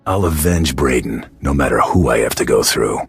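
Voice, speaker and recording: A callous mercenary from a sci-fi game, extremely deep and raspy. He speaks in curt, dry threats while music plays behind him. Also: lively intonation, moderate pace, heavily acted. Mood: dry resolve. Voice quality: low, gravelly rasp. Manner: curt, menacing, dryly sarcastic. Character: gruff, callous male bounty hunter. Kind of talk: video game voice acting.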